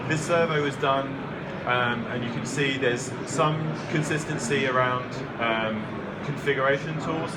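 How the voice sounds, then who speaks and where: An Australian man giving a conference talk, explaining in a plain way with frequent ums. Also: medium pitch. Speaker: conference speaker. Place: conference hall. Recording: distant mic, loud background hum.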